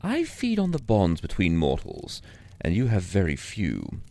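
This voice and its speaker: A very deep, gravelly spirit keeper in an audio drama, with a wildly swinging pitch and grave pronouncements. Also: male voice, wildly swooping pitch, a little slow, darkish tone, no room sound, heavily acted. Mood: ominous. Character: ancient deep-voiced keeper spirit feeding on bonds.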